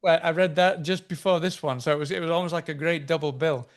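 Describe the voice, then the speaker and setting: A man with a somewhat high voice hosts a casual interview. He asks long, curious questions and often circles back and restarts his sentences. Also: steady tone, fast. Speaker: interview host.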